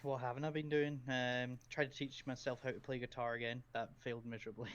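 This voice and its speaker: A man's voice: medium pitch, level tone, fairly quick. Rambling Scottish man, slightly muffled. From Scotland. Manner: rambling, hesitant, lots of ums and giggles. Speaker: podcast guest, streamer. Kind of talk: casual chat, anecdotes.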